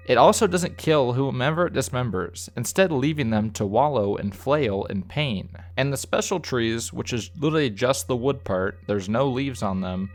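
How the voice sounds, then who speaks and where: Male review host, mid-pitched voice, conversational and opinionated, scripted narration over music. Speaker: host of a video review series. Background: background music.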